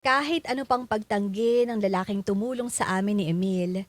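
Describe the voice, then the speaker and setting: A wary wife , voiced by an actress narrates her own story for a Filipino radio drama. Her mid-pitched voice is measured and reflective, with clear music playing underneath. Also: natural voice.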